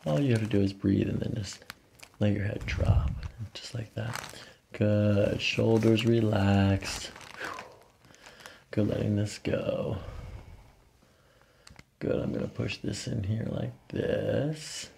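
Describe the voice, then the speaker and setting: A middle-aged man working as a chiropractor, giving calm, short, soothing instructions during an ASMR treatment. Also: lowish voice, takes it slowly, vocal fry, pauses often, slight background noise. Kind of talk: hands-on treatment talk, ASMR. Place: small office treatment room. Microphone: microphone on a boom nearby.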